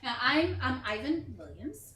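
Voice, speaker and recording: Earnest, managing American producer played by a woman, halting and placating, higher-pitched, heard in a roomy stage reading. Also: big pitch swings, fairly bright tone, heavily acted. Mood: earnest, formal. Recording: roomy, distant, faintly noisy recording.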